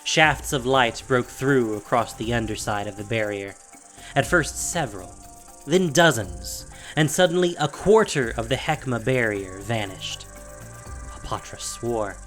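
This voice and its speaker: A man narrating a scripted fantasy audio drama with measured delivery and dramatic pauses. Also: medium pitch, animated, a bit bright. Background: faint music.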